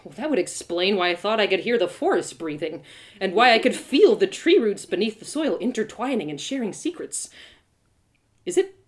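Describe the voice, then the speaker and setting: An awkward, curious young woman on her travels, played by a reader, speaking with an animated, varied pitch. She stammers a little in bewilderment, in a storybook style. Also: close to an everyday voice. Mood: puzzled. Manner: halting, stammering. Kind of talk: live storybook character reading.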